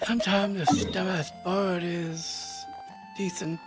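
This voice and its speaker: A woman comments casually as she plays a game. Her voice sits a little low and she mutters her offhand remarks at a slow pace. Very loud game music runs behind her. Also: flat delivery. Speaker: gamer commenting on playthrough.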